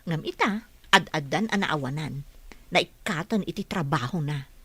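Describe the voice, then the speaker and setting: A woman's voice: low voice, animated, normal speed, husky, dry recording. A woman acting a sensible, moralizing older sister in an Ilocano radio drama. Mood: gossipy, moralizing.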